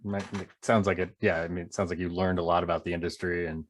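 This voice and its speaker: A man's voice: low voice, flat delivery, fast. A man hosting an interview, chatting casually in an agreeable, conversational way. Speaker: interview host. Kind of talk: casual chat.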